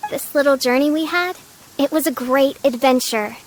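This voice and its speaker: A woman's voice: sits high, normal speed, slight background noise, lightly acted, low-quality recording. A woman voicing a theatrical teenage girl who plays the grandiose noble princess in a video game, with dramatic delivery. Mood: sincere, wistful. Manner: pompous, archaic, dramatic phrasing. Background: game music.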